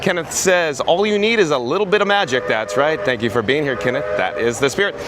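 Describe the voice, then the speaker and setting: Upbeat, chatty male vlog host, a bit high and hoarse, shot outdoors on a phone with steady street noise. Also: fairly quick. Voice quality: raspy. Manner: upbeat, chatty, reads chat aloud. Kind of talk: live-stream walkthrough. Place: outdoors at a busy theme park.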